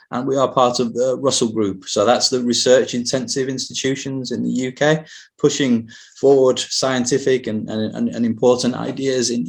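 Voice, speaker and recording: A middle-aged man, a university recruitment manager, giving a measured, explanatory webinar presentation over a video call microphone. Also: mid-range voice, vocal fry.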